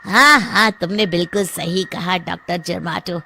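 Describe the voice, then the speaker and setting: A woman voicing a calm, self-assured male scientist in an animated Hindi story, measured and reassuring. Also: fairly low pitch, vocal fry, music in the background. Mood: satisfied. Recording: faint background noise.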